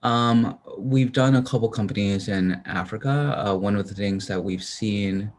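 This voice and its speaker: Male investor, deep voice, halting delivery, faint noise under the call audio. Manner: halting, heavy with hesitations. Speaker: venture investor on a panel.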